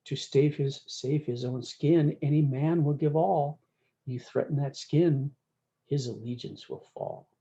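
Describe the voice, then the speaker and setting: Woman as storybook cowboy devil, scornful, needling, folksy wording, relaxed dark tone, dull lo-fi sound. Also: low-pitched, a little slow. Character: sly, scornful male cowboy devil making wagers.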